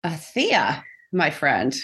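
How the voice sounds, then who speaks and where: Middle-aged female podcast co-host, slightly low-pitched voice, casual conversational delivery. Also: huge pitch swings, takes it slowly, fairly bright tone.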